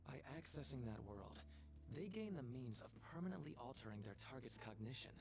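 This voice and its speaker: A man voicing an earnest, polite counselor and researcher in scripted game dialogue, speaking in a measured, formal way. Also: medium pitch, lively intonation, vocal fry, noisy background. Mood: grateful, composed.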